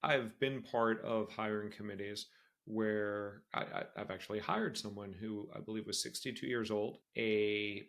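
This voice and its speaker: Gravelly-voiced man in his forties. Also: lowish voice, fairly even intonation, moderate pace, a bit bright. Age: 40s. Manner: candid, conversational, repeats phrases for emphasis. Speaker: podcast host. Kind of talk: solo podcast monologue. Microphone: screen-sharing video podcast setup.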